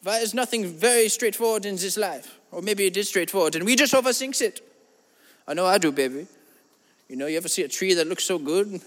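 A man doing a high-voiced German-accented guru. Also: expressive, a little fast, long reverb tail. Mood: grandiose rambling. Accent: put-on German accent. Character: eccentric German-accented man, grandiose self-styled spiritual guru. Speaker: comedy podcast host. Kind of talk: improvised comedy monologue in character.

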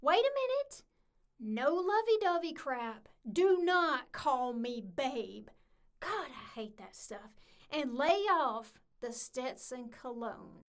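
A woman's voice: sits high, sing-song, slow, heavily acted. A woman playing a brash, bossy, jealous young-spirited Southern woman with a hot temper in an audio drama. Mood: exasperated, bossy.

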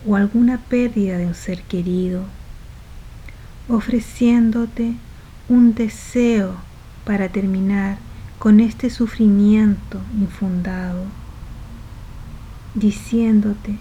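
A woman guides a meditation in a soft, relaxed, mellow voice. She speaks slowly, one short phrase at a time, with breathy, trailing endings. A faint noise and low rumble sit behind her. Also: low-quality recording.

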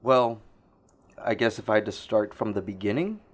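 A man born in South Korea, a tattoo artist guest, talking in a modest, laid-back, halting way and laughing often. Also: on the low side. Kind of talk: casual interview chat.

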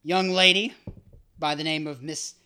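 A man's voice: a little high, fairly even intonation, a little slow, sharp tone, clean tone. Male podcast host, chatty and joking, casual interview. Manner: joking, rambling, self-deprecating.

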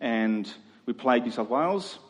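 A man's voice: medium pitch, expressive, unhurried. An Australian man giving a sermon in an earnest, conversational way, repeating phrases for emphasis. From Queensland, Australia. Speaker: preacher. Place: large room, preaching to a congregation. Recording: echoey hall with long reverb.

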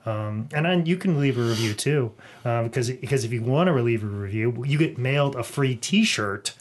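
A man hosting a wrestling comedy podcast, rambling through listener shout-outs with joking asides and restarts. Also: mid-range voice, animated, normal speed. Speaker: podcast host. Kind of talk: casual chat.

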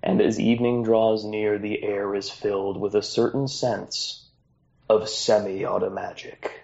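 A man's voice: lowish voice, level tone, measured pace, creaky, a little mellow, muffled recording. A man hosting a tabletop game podcast as game master, narrating scenes with jokey asides.

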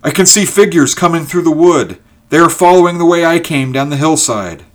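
A man voicing a proud, excited and urgent nobleman in a dramatic storybook reading. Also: lowish voice, normal speed, close to an everyday voice. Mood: joyful excitement.

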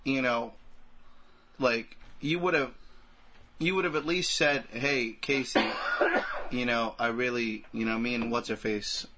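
A man's voice: mid-range voice, fairly even intonation, slow pace, pauses often, very noisy. A man co-hosting a podcast, chatting casually about a film with friends in a rambling, crude way peppered with filler phrases. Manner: rambling, crude humor, frequent "like" and "you know". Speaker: podcast co-host.

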